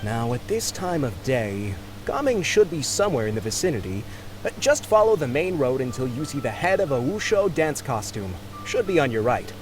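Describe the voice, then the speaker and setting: A man's voice: mid-range voice, big pitch swings, normal speed, soft background music, close to an everyday voice. An actor playing a polite, harried male office clerk in a video game, courteous and apologetic.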